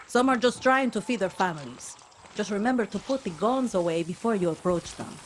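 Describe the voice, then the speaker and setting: A tough young rebel woman and ex-soldier, voiced by a woman, brisk and wry as she lays out the plan. Her voice sits in a middle range, with faint ambience behind. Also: mid-range voice, lively intonation, slight background noise, lightly acted. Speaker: guide giving mission briefings. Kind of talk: video game cutscene acting.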